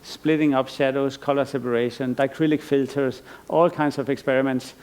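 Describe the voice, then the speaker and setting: A man's voice: medium pitch, little pitch movement, moderate pace, a little mellow, clean tone. A middle-aged man from Iceland giving a conference lecture as an artist, thoughtful and rambling, with frequent restarts and fillers. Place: lecture stage.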